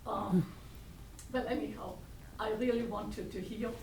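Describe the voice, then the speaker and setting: A woman's voice: medium pitch, fairly even intonation, a little slow, vocal fry, a little mellow. Elderly woman, far off in an echoey room. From Hungary. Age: 80s. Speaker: audience member. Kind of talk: telling a personal memory from the floor. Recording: echoey room, distant mic, noisy.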